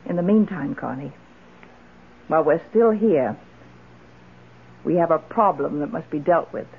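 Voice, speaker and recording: An actress playing a weary, tart older widow in an old-time radio drama, dry and resolute. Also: female voice, sits low, animated, unhurried, gravelly, husky, exaggerated voice. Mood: confiding, resolute. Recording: muffled old radio broadcast.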